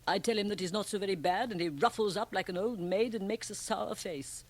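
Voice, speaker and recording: A woman's voice: medium pitch, a little fast, natural voice. A woman playing a grotesque, sly European magic-show performer in a radio drama, teasing and provocative in a theatrical manner. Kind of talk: 1980 radio drama acting. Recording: 1980 radio drama, home cassette transfer.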